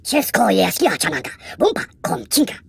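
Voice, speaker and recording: A woman voicing a small, excitable alien creature, jabbering in a shrill, creaky voice for a video game. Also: fairly quick, dry recording, exaggerated voice. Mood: excited jabbering.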